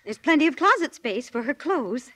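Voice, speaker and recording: A woman's voice: high voice, sing-song, normal speed, fairly bright tone, dry recording, clean studio sound. An actress playing a plain-spoken unmarried landlady, matter-of-fact and folksy, in a 1940s radio drama. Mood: chatty.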